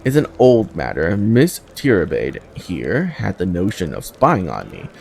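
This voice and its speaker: A man's voice: low voice, huge pitch swings, a little slow, gravelly, a little mellow, a little hiss or hum, heavily acted. A man voicing a haughty, wealthy merchant from a fantasy game, formal and pompous in his proud delivery. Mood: indignant. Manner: formal, pompous, fussy diction.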